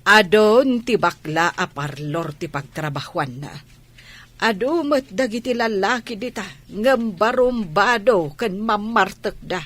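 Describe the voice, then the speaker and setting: A protective Ilocano village mother in a radio drama. She has a medium-pitched voice and reasons firmly in defense of her child. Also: expressive, measured pace, a bit bright. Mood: protective, exasperated. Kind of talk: Filipino radio drama acting.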